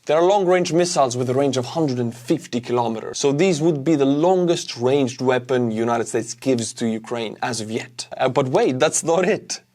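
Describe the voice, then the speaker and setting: A male online host with an Estonian accent and a medium-pitched voice. He talks informally, as in casual news commentary, addressing the listener conversationally. His delivery carries a wry edge. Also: a little mellow. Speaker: YouTube war-news host.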